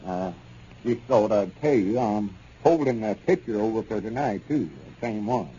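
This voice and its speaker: An actor playing a stubborn, earnest small-town Southern businessman with a halting, folksy manner in 1930s radio comedy. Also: lowish voice, mellow, natural voice. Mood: conciliatory. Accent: rural Southern US. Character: proud, stubborn, conscientious small-town country businessman, a man. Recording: dull, noisy 1930s radio recording.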